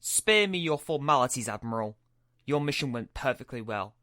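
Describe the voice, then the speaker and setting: Man playing a forceful, imperious Sith villain. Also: mid-range voice, lively intonation, normal speed, no echo, clean studio sound. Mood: irritated, scornful. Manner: imperious, scornful, curt. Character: menacing, irritated Sith lord villain. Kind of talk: Minecraft roleplay web series voice acting.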